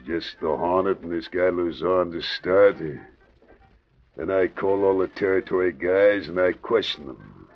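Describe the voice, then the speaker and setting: A suspicious, ruthless gangland boss in a 1940s radio drama. His voice is very low and gravelly, his slangy delivery menacing. The old recording sounds muffled. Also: unhurried. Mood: cold menace. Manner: menacing, slangy tough talk.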